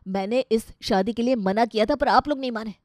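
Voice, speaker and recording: A woman acts out an irritable young husband in a dramatised moral story. He is scornful and short-tempered, scolding in a loud, sarcastic way. The sound is dry. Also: on the high side, fairly quick, no room sound, voice pushed up, natural voice. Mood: defensive.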